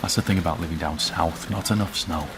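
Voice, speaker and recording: The voice is low and deep, a man playing a wry, self-deprecating Yorkshireman in his thirties, with a dry, teasing way of talking. He is recorded for a radio drama, with steady noise behind him. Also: quick pace, lightly acted.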